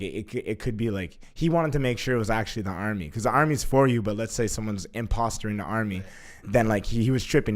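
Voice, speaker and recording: Lively young man telling a wild story. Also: lowish voice. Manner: animated, heavy use of filler "like", swears freely. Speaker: guest. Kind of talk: casual storytelling.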